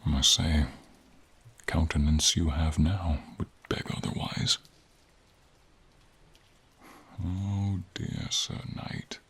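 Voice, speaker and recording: A man voicing a gentle, encouraging silver dragon in a fantasy ASMR roleplay, with a husky, near-whispered voice and slow, tender, courtly phrasing, over rain. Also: low-pitched, exaggerated voice. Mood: tender, consoling. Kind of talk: fantasy ASMR roleplay, soft intimate acting. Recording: steady rain behind the voice.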